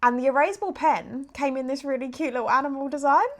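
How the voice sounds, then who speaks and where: A woman's voice: high voice, quick pace. A young woman co-hosting a casual podcast, telling stories in a bubbly, sheepish way. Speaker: co-host.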